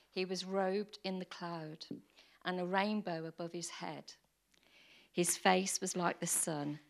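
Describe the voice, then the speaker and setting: A woman with a croaky voice reading scripture aloud to a congregation, careful and measured. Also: lowish voice.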